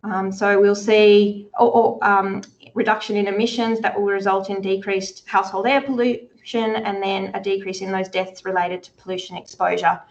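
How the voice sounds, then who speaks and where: A woman's voice: mid-range voice, level tone, moderate pace. A woman giving a health presentation over a video call, earnest, restarting her phrases often. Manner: earnest, many restarts and fillers. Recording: dull lo-fi video call audio.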